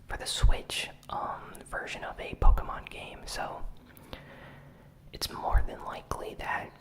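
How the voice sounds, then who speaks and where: A host giving a whispered ASMR recap of gaming news, in a soft unvoiced whisper with relaxed, casual asides. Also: rough recording. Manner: unhurried, with frequent "you know" and "uh" fillers.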